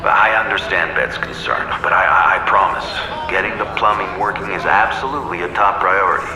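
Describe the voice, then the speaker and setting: An actor playing an older deputy mayor in an audio drama, genial, placating and a little flustered. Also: male voice, sits low, animated, a little fast, far from the mic, over music. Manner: placating politician, hesitant. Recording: roomy, off-mic, street noise behind.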